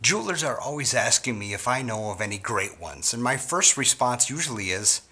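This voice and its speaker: A middle-aged man from Wisconsin giving a scripted sales training talk to store owners in an earnest, measured way. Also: mid-range voice, sing-song, pushed and bright, breathy edge.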